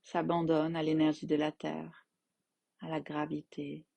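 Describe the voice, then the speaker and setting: Very slow, soft-edged woman guiding meditation. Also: sits low, completely flat delivery, creaky, dry recording, lo-fi sound. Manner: gentle, unhurried phrasing with long pauses. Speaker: yoga nidra teacher guiding relaxation. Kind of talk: guided meditation, slow soothing instruction.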